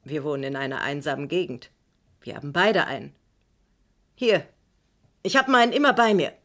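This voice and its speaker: Proud, defiant radio-play wife. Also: female voice, deep voice, moderate pace. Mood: wary. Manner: sharp, haughty, emotional. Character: proud, defiant wife defending her suspected husband. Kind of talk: 1970s German radio drama acting.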